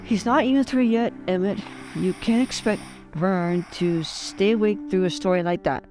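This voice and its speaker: A woman plays a mother gently chiding her husband in a motion comic. Her voice is mid-pitched and mildly scolding, with faint music underneath. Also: moderate pace, lightly acted. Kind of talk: motion comic character acting.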